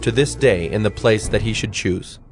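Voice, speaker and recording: Measured male narrator over music. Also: on the low side. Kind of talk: scripted dramatized Bible narration.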